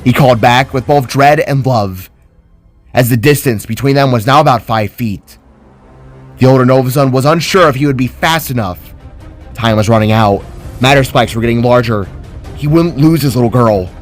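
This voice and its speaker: A man's voice: medium pitch, moderate pace, soft background music. A man reading a scripted fantasy story as a dramatic narrator.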